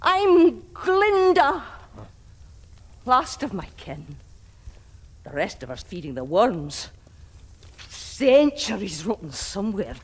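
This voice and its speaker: An actress playing a cantankerous, ancient granny master forger in a video game, scolding and theatrical. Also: female voice, fairly high pitch, a little hiss or hum, heavily acted. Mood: musing, bossy. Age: older. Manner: scolding, dramatic, slow emphatic delivery. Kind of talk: video game cutscene acting.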